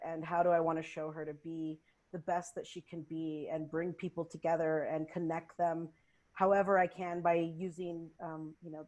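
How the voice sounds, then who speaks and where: Earnest woman with a lowish voice. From South Texas. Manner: warm-hearted, earnest and a little nervous. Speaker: community relations manager, panelist. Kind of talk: casual self-introduction on a panel.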